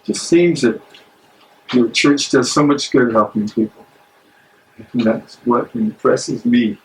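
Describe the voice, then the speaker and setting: A man acting a troubled, earnest German theologian in a live dramatic reading, speaking haltingly. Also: mid-range voice, close to an everyday voice, low-quality recording. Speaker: actor in a dramatic play reading. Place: public library meeting room. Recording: live library room, faint background noise.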